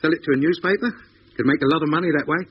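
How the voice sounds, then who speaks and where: A man acting a chirpy, excitable Cockney spaceship radio operator in a 1950s radio drama, with matey, slangy speech. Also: fairly high pitch, very fast, breathy edge. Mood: easygoing. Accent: London Cockney. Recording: dull, noisy 1950s radio recording.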